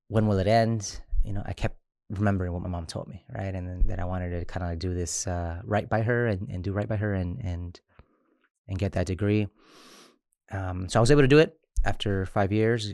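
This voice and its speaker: A man in his thirties from Mexico, an immigrant rights organizer speaking in an interview, halting with frequent restarts. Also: lowish voice, darkish tone, no room sound, studio quality. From Chiapas, Mexico. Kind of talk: interview conversation.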